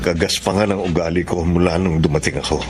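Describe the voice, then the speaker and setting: Man acting a low-voiced Filipino brother, emotional. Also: fairly even intonation, fast, a little mellow, a little hiss or hum, natural voice. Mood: remorseful, earnest. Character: hot-tempered adult brother and husband in a family. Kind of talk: Filipino radio drama acting.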